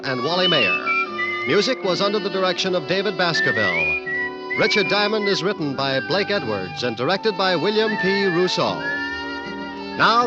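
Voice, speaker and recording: A male announcer with a high, lively voice reads the credits in a polished, declamatory broadcast style. Loud music plays under him, and the sound is muffled like a vintage radio transmission.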